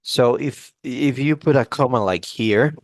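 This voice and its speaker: A man's voice: mid-range voice, unhurried, no room sound. Man teaching grammar, checks in often. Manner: repeats "okay?" often, restarts phrases. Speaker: English teacher. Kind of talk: classroom grammar lesson. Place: classroom.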